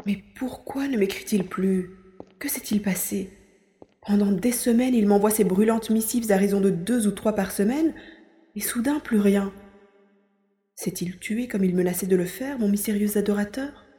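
Audio drama: romantic young gentlewoman, played by a woman, dreamy and formal, mellow tone, echoey room. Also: mid-range voice, moderate pace, close to an everyday voice. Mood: lovelorn, anxious. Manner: exalted, formal literary diction. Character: romantic, dreamy young provincial lady, well-born. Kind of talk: audio drama monologue and dialogue. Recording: roomy echo, faint background noise.

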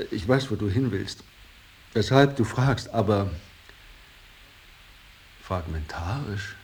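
An actor playing an embittered, idealistic painter in a radio drama, speaking solemnly and rhapsodically, moving between hushed reverence and outbursts. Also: male voice, deep voice, slight background noise, heavily acted, rough recording. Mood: earnest, awed. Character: Lachmann, embittered, idealistic male painter revering his old master. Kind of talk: 1980s German radio drama acting.

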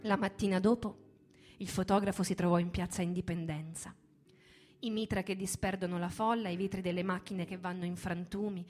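Female stage narrator, dramatic literary monologue, grave deliberate phrasing, soft instrumental bed behind. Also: lowish voice, steady tone. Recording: music bed under the voice. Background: guitar music.

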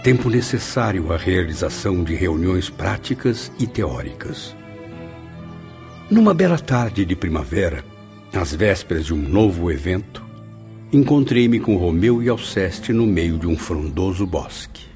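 An actor playing an earnest male spirit in a radio drama, speaking in a measured, declamatory way. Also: low-pitched, expressive, darkish tone. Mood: reflective. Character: Camilo, earnest male spirit telling his story. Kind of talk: solemn radio drama acting and narration. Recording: background music under the voice.

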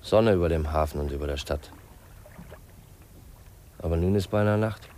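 Guy playing a dreamy polite young orphan, low soft-mannered voice, earnest, 50s german radio drama. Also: normal speed, a little hiss or hum, natural voice. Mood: wistful. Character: polite, wistful orphaned young man, twenty.

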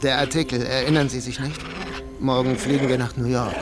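An actor playing an uneasy assassin who poses as a polite visitor in a radio drama. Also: male voice, medium pitch, steady tone, fairly quick, lightly acted. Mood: guarded, polite. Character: tense male assassin posing as a polite visitor. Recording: music behind the voice.

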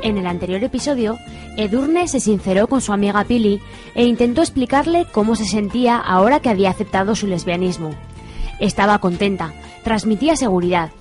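A woman from narrates a radio soap, steady and measured. Her pitch sits mid-range. Light music plays underneath. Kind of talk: scripted radio drama narration.

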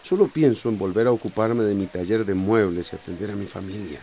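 A man's voice: on the low side, fairly quick, dark tone, a little hiss or hum, close to an everyday voice. A man acting in a radio soap opera as a harried, dutiful middle-aged small-town mayor. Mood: worried, weary. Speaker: radio drama actor.

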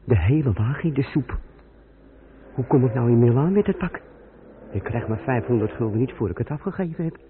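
A man's voice: mid-range voice, expressive, brisk, pauses often, lightly acted. A man acting a grumbling, self-excusing lorry driver who mutters to himself in a Dutch radio play. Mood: gloomy, aggrieved. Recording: tinny, noisy old radio recording.

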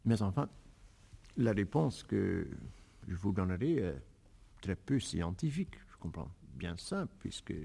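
A man from the Netherlands, answering as an expert doctor in a careful, halting, emphatic way. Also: sits low, sing-song, gravelly, warm tone, breathy edge.

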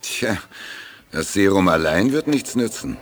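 An actor playing an anxious, educated doctor swept into danger in a German science-fiction radio drama, with a creaky voice. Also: male voice, deep voice, sing-song, unhurried, a little hiss or hum, full character voice. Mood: wary, reserved.

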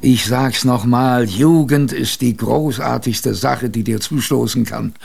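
A man playing an older adventurer who recalls his youth in a creaky voice, with wistful, conversational radio drama narration. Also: medium pitch, a little slow, darkish tone, full character voice. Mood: nostalgic. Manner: conversational, wistful, reflective storytelling.

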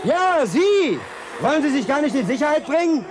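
An actor playing an agitated male passer-by in a German radio drama, calling out loudly. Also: extremely high pitch, sing-song, moderate pace. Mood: alarmed, shouting. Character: agitated male passer-by urging people to shelter. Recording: noisy outdoor street with traffic. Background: street and vehicle noise.

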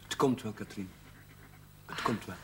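An actor playing a gentle, easygoing village chaplain who speaks mildly. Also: male voice, lowish voice, a little slow, background noise, lightly acted. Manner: mild, gentle, chuckling. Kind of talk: 1970s TV drama acting.

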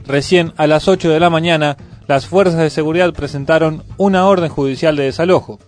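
A man's voice: on the high side, fairly even intonation, a little fast. A man with an Argentine Rioplatense accent reading scripted news on the radio, as a presenter. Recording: music playing under the voice. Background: music bed.

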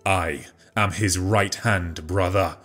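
A narrator puts on a commanding demigod warrior-king, stern and solemn. The voice sits deep and moves at an unhurried, weighty pace, each line booming with authority. Soft music plays faintly behind. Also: fairly even intonation, heavily acted. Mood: proud, solemn. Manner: grave, slow, booming delivery. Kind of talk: audiobook character voice.

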